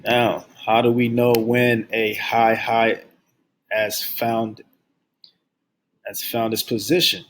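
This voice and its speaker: A young man teaching forex basics, keeping his voice low and subdued, and repeating key phrases over and over as he drills them in. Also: little pitch movement, slow, pauses often, a bit bright, slight background noise, low-quality recording. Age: young adult. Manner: speaks low and subdued on purpose. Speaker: forex trading instructor. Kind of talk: screen-share trading lesson. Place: at his workplace.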